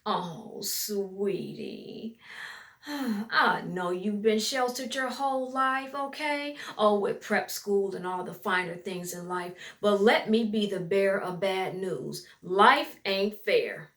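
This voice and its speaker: Casting: woman playing bossy big sister, medium pitch, haughty, sassy and curt, family stage dramedy. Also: slow, fairly bright tone. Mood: condescending. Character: bossy, condescending elder sister, sharp-tongued and haughty. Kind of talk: dramatic play reading.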